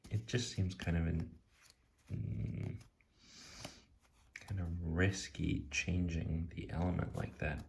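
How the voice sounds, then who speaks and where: A man with a deep, very creaky voice speaks softly in ASMR style. He muses hesitantly, letting words fade into near-whispers. A faint noise sits behind him, with soft clicks and taps. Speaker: tarot deck reviewer. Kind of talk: soft-spoken ASMR, impromptu commentary. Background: soft tapping and clicking.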